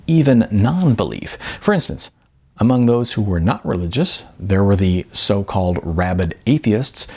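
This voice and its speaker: A man reading aloud from his own novel in an unhurried, expressive storytelling manner, with wide swings in pitch. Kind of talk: audiobook-style novel reading.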